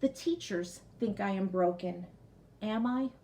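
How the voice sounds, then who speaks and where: A woman's voice: medium pitch, unhurried, mellow. A woman with a mid-range voice reads and teaches for young children. She talks like a schoolteacher at storytime, putting things in simple terms and checking that her listeners are keeping up. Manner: explains simply, with frequent checking "okay?" and recaps. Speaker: teacher reading a picture book aloud to children. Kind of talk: explanatory read-aloud lesson for young children.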